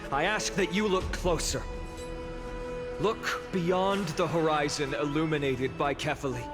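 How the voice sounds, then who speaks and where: A young man playing an earnest, humble village hero in a video game, speaking sincerely and politely. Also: on the high side. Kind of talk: scripted game voice acting. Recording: music behind the voice.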